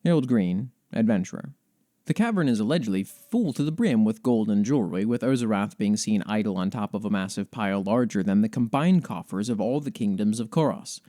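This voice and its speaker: A man hosting a podcast, reading fantasy lore in an expressive, measured storytelling way with casual commentary. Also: fairly low pitch, dry recording, clean studio sound. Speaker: podcast host.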